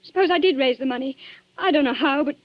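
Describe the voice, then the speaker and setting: A woman's voice: sits high, fast, lightly acted. An actress playing a tense, defiant woman cornered by blackmail in a 1940s radio thriller, with a dramatic, bitter delivery. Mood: desperate defiance. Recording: muffled 1940s radio recording.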